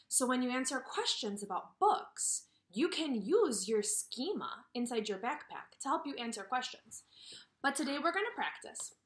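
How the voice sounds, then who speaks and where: A woman teaching kindergarten through a read-aloud lesson, speaking directly to young children and asking them questions in an animated way. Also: fairly high pitch.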